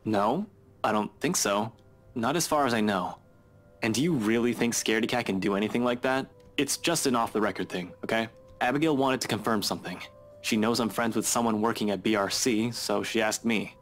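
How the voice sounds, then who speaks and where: Low-pitched, offhand man, acted for a game. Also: a little fast, fairly bright tone, a little hiss or hum, natural voice. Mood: guarded, casual. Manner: offhand, dry, understated. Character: nonchalant, overworked male investigator friend, dry-witted. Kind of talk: visual novel voice acting.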